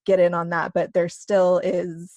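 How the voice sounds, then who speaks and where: A woman's voice: on the low side, little pitch movement, unhurried, darkish tone, no echo. A woman in her thirties talking casually and candidly as an interview guest, with a chatty delivery full of filler phrases like "you know". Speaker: interview guest, novelist and podcaster.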